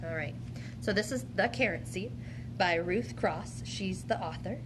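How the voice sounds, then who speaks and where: Woman teacher with clear background noise. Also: mid-range voice, unhurried. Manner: encouraging, questioning, speaking to a child. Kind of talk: instructional read-aloud demonstration with questioning.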